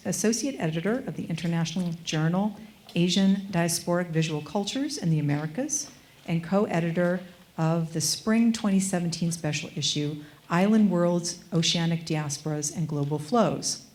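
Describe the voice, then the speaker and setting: A woman curator reading formal introductions of panelists at a museum event, measured and composed with an occasional filler. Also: on the low side, a little mellow. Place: museum event space, before an audience.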